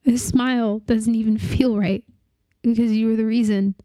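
A young woman playing a grieving partner in a dramatic monologue, with a halting, tearful delivery full of restarts. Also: on the high side, steady tone, dark tone, dry recording, clean studio sound, lightly acted. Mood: heartbroken.

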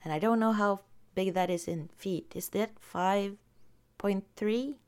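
Norwegian girl reading comments, talks real slow, giggles a lot, soft voice. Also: medium pitch, smooth. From Norway. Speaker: vlogger.

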